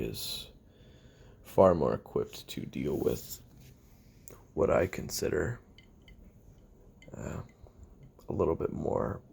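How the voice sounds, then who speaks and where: Sardonic assassin played by a man for confessional audio roleplay: heavy gravel and fry, deadpan, halting delivery that still swings in pitch. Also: heavily acted. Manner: halting, deadpan, dryly self-deprecating. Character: weary, sardonic male assassin recording taped memoirs.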